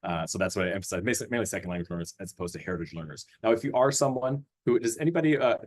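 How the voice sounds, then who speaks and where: A man's voice: lowish voice, lively intonation, racing. A man who grew up in Austria presents a school curriculum over a video call, talking in a friendly way with frequent fillers and restarts. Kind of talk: webinar presentation.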